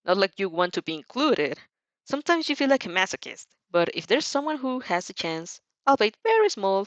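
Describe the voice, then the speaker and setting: A woman reading fan fiction aloud as an expressive, theatrical storyteller who now and then stumbles over words. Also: medium pitch, no room sound. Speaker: fan fiction reader and host. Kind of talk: expressive storybook narration with character voices.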